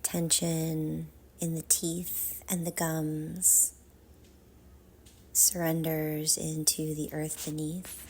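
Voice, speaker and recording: A woman leading a guided meditation in a soft, half-whispered, soothing voice, with measured phrases and long pauses. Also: deep voice, little pitch movement, breathy edge.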